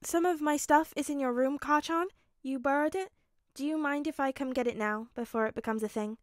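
A woman voicing a kind, earnest teenage boy with a soft, lightly teasing way of talking, in a story reading. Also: mid-range voice, normal speed, no room sound, studio quality, natural voice. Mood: soft, polite. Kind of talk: acted audiobook-style fan fiction reading.

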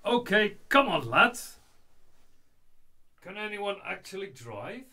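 A man's voice: sits high, very animated, takes it slowly, bright, forceful tone, full character voice. A man playing a blunt, grumbling, indignant henchman conspirator in a radio play. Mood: rallying. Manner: blunt, complaining. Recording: faint background noise.

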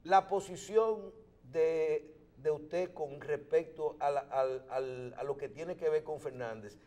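A man's voice: fairly high pitch, slow pace, warm tone. A middle-aged man hosting a TV interview in a studio, building long questions with hesitant restarts, on a lapel mic. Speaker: TV host.

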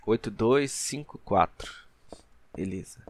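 A man's voice: fairly low pitch, animated, slow pace. A young man with a Brazilian accent casually commenting on a game with joking, slangy asides. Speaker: gaming channel host. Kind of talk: casual let's play commentary. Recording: noisy, game sounds behind.

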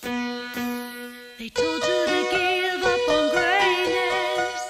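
A woman's voice: very high-pitched, fairly even intonation, drawn-out words, loud music behind the voice, heavily acted. A woman playing a rousing patriotic singer in a satirical radio jingle. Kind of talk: sung satirical commercial jingle.

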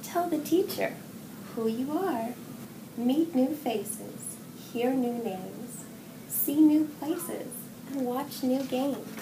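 A middle-aged woman reading a children's picture book aloud with expressive, sing-song phrasing. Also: high-pitched, slow. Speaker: children's story reader, hospital executive assistant. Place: indoor room with wall decorations. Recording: roomy echo, distant mic, clear background noise.

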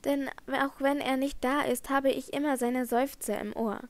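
A woman's voice: high-pitched, level tone, normal speed, no room sound, lightly acted. Woman voicing a haunted young singer. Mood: anguished. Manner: anguished, trembling, emotional.

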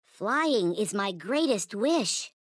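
A man voicing a small, squeaky, childlike toy alien in a video game, earnest and worshipful, with stilted, formal phrasing. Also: big pitch swings, slow pace, fairly bright tone, no room sound, studio quality, exaggerated voice. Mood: earnest, awed. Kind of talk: video game character voice lines.

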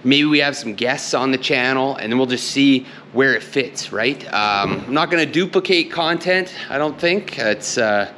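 A man's voice: mid-range voice, normal speed, hardly any pauses, sharp tone, a little hiss or hum. Folksy rambling man in a workshop. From Canada. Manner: rambling, folksy, many fillers and restarts. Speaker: channel host and tool business owner. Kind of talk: casual vlog talk to camera. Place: large indoor workshop with wood loft. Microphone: camera held at arm's length.

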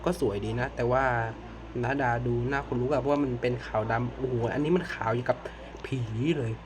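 A man's voice: mid-range voice, steady tone, a little fast, noisy background. A man giving casual, exclamatory reaction commentary to horror clips, swearing and muttering asides to viewers. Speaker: reaction video host.

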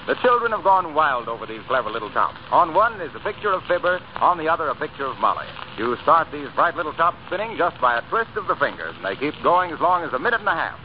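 A man's voice: on the high side, very animated, quick pace, few pauses. A man speaking as an announcer in the polished style of 1930s radio. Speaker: announcer and supporting radio actor. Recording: dull, noisy 1930s radio recording.

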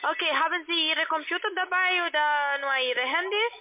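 High-pitched woman, non-native speaker, on telephone. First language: not German. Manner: halting, broken grammar, insistent. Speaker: call centre agent. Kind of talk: phone sales pitch. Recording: narrow telephone line.

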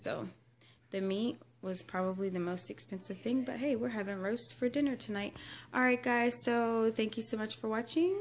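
Casual American woman vlogger. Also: medium pitch. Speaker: couponing vlogger. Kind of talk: casual chat to viewers.

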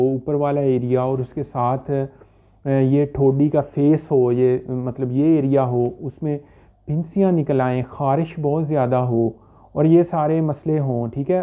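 A man, a homeopathic doctor giving an informal, halting medical explanation to camera with English terms mixed in. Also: medium pitch, steady tone. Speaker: homeopathic doctor presenting a health video. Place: small furnished indoor room. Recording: dull, limited-bandwidth phone-like sound.

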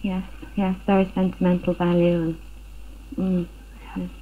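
A woman's voice: fairly low pitch, completely flat delivery, a little slow. A middle-aged woman giving a personal account in an interview, recorded in a living room. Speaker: interviewee, burglary victim. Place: living room at home, indoors. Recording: tinny phone-like 1970s film sound, noisy.

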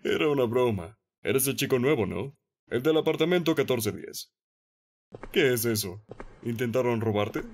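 A man's voice: mid-range voice, expressive, moderate pace, darkish tone. A man with a Latin American accent playing an intimidating, short-tempered gangster in a fan-dubbed webtoon. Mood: mocking, probing. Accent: Latin American Spanish. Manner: menacing, blunt, drawling.

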